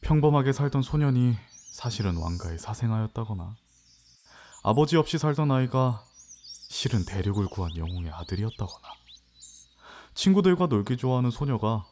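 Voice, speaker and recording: A man's voice: on the low side, lively intonation, normal speed, darkish tone, close to an everyday voice. A man playing a young farmer's son, narrating his own fantasy story in a reflective, literary way in an audio drama. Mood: wistful, reflective.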